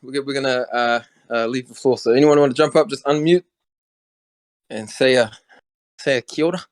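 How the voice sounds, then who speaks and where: Slangy New host on a call. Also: male voice, medium pitch, normal speed, no room sound. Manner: friendly, slangy, calls people bro. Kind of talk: casual hosted voice chat.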